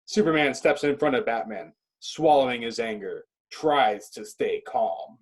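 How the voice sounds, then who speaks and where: A man with a husky voice reading stage directions as dramatic scripted narration. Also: mid-range voice, expressive, a little slow.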